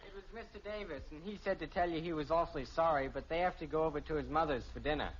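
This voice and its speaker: A young performer playing an exasperated, hurried American teenage son in a mid-century radio family sitcom. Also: mid-range voice, close to an everyday voice. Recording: muffled old radio, clear noise.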